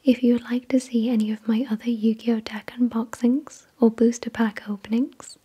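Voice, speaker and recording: A woman whispering softly and breathily in ASMR style, reading aloud in a deliberate, measured way. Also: on the high side, flat delivery, slightly rough. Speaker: ASMR video creator and host. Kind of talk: soft-spoken ASMR, reading card text aloud.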